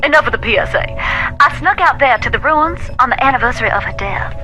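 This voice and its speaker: Bright-voiced Southern ghost-seer woman. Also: high voice, expressive, fast talker, soft background music, low-quality recording. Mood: grave. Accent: Southern American. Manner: folksy, dramatic, emphatic. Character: folksy, intense woman ghost-seer, knowing and haunted. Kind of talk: video game voice acting, dramatic storytelling.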